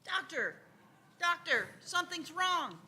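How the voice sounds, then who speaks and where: A woman's voice: extremely high pitch, sing-song, slow pace, pushed and bright, full character voice. A woman playing an anxious, impatient female space traveller in a live radio play, urgent and questioning. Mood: alarmed.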